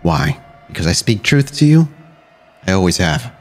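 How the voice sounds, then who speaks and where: A man acts out a dead vampire elder haunting a mirror in a roleplay game. The voice is very low and grandiose, needling with pointed questions, over faint music. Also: sing-song, moderate pace. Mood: smug. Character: dead vampire sire as mocking, goading mirror reflection. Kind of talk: tabletop roleplay acting.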